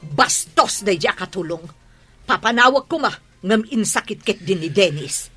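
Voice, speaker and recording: A woman's voice: mid-range voice, animated, normal speed, bright, forceful tone, breathy edge, soft background music. A woman playing a hot-tempered, bossy mother and madam of the house in a Filipino radio drama, scornful and imperious, with an Ilocano accent. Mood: resentful complaining.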